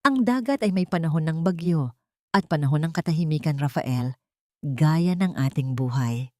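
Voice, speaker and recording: A woman voicing a calm, guarded but forgiving mother in an audio story, speaking gently and firmly. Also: low-pitched, no room sound. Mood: gentle, reflective. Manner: measured, gentle, firm.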